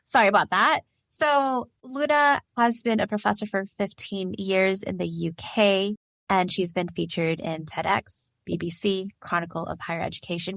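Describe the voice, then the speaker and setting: A woman hosting a podcast interview, talking in an energetic, chatty way with quick asides. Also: mid-range voice, no echo. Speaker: podcast host and career coach.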